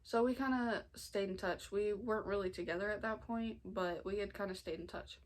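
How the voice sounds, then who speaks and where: A young American woman of about nineteen, talking informally and earnestly in a halting way, as in a personal storytime vlog. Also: mid-range voice, slight background noise. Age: 19, nearly 20. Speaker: family vlogger. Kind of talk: personal storytime vlog, casual confessional talk.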